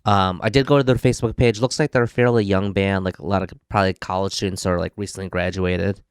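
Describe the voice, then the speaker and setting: A man in his thirties with a Midwestern accent and a low, smooth voice, co-hosting a podcast. He thinks out loud and leans on "like" as he talks. The sound is close and dry. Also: steady tone, quick pace, dry recording. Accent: Midwestern American. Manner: thoughtful, lots of "like" fillers. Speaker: podcast co-host. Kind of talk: casual chat. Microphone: podcasting mic.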